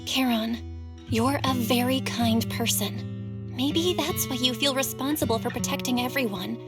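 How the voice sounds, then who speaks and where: A kind young woman from a video game, voiced by an actress, offers comfort in a high voice. Her delivery is gentle and consoling, over clearly audible guitar music. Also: measured pace, close to an everyday voice. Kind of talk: dramatic video game dialogue.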